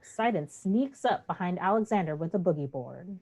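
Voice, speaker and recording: A woman's voice: fairly low pitch, expressive, normal speed, a little mellow. A woman narrating a play, reading scripted stage directions.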